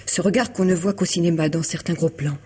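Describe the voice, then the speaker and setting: A woman's voice: lowish voice, a little fast, a little mellow, slightly rough. An actress playing an elegant, troubled woman confiding in a lawyer friend in a vintage French radio drama, hesitant yet articulate. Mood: pensive, intrigued. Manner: hesitant, restarting, articulate and formal.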